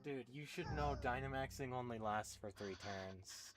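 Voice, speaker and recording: A woman's voice: a bass voice, moderate pace, noisy background. A young woman giving casual, blunt, incredulous and slangy commentary while playing a video game. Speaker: streamer reacting to a game. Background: game music.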